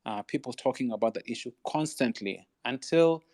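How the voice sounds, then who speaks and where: A man's voice: medium pitch, animated, moderate pace, darkish tone, dry recording, clean studio sound. A man from Uganda, answering as a panelist in a thoughtful, searching way with frequent restarts.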